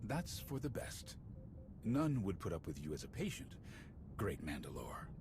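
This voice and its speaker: A solemn warrior sage in a video game. His voice is deep and moves expressively, with grave, formal phrasing. Ambient noise is clearly heard behind him. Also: huge pitch swings, measured pace, full character voice. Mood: dryly teasing. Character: solemn, wise male Mandalorian warrior elder and arbiter. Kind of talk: video game cutscene acting.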